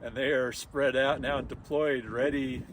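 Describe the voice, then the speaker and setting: A man chatting casually outdoors, giving short, deadpan answers. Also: mid-range voice, normal speed. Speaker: radio contest team member. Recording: loud outdoor wind and vehicle noise.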